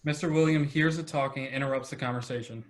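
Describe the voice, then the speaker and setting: A man's voice: mid-range voice, fairly even intonation, quick pace. Man narrating in a roomy echo. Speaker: presenter and table read narrator. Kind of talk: scripted narration.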